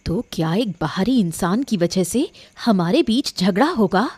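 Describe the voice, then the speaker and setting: A sharp-tongued cartoon queen, acted by a woman. Her voice has an average female pitch, and she questions and reproaches in a pointed, disapproving manner. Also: expressive, a little slow, breathy edge, no room sound. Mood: indignant. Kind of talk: Hindi cartoon dialogue acting.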